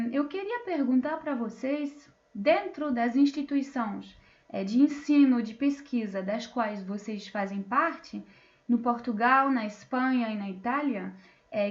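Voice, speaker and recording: A woman's voice: high-pitched, dull audio. A woman moderating an online panel, introducing guests with many fillers and restarts, over a video call. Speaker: debate moderator.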